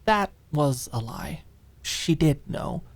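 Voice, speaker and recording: A woman narrating scripted audiobook fiction in a dramatic ASMR style, with expressive, deliberate phrasing. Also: deep voice.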